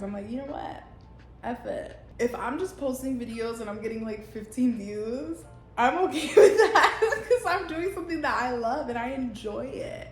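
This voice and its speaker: Blunt, laughing young woman vlogger. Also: on the high side. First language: Spanish. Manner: blunt, profane, rambling with frequent laughs. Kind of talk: unscripted casual monologue. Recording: roomy echo.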